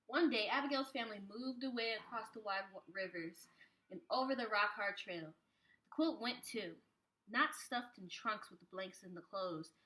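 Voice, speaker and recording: Casting: female preschool storyteller, bright voice at medium pitch, expressive delivery for a children's picture book. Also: normal speed, slight background noise, poor audio. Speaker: preschool teacher reading aloud.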